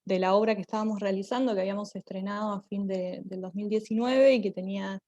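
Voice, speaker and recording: A woman with an Argentine accent giving an informal online talk, often halting as she searches for her words. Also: medium pitch, fairly even intonation, dark tone. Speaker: theatre group member giving a talk.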